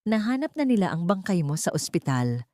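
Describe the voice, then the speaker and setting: A woman's voice: on the low side, sing-song, dark tone, no room sound, clean studio sound. A woman with a Filipino accent voicing a serious, wealthy older male mentor in a grave manner for an audio story. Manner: grave, measured.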